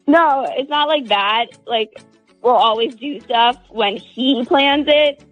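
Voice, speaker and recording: A woman's voice: high voice, takes it slowly, fairly bright tone, highs cut off, faint music behind. A woman calling a radio show, talking casually and candidly in a hesitant, wordy way. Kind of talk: casual, candid relationship talk.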